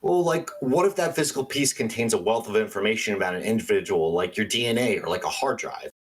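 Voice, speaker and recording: An actor playing a quirky, excitable small-town paranormal radio host in a radio play, theatrical and earnest. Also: male voice, fairly low pitch, fast talker, husky. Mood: conspiratorial. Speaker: radio call-in show host.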